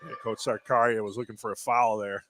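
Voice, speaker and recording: A man's voice: lowish voice, flat delivery, a little fast, fairly bright tone, a little hiss or hum. A man giving conversational color analysis during a live basketball radio broadcast. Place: at a basketball game.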